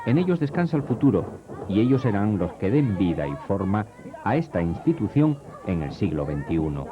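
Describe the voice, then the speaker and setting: A man narrates a television report in a solemn, measured way. His voice sits very low but moves expressively up and down. The sound is dull and dated, with clear music playing underneath. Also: very animated. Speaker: documentary narrator.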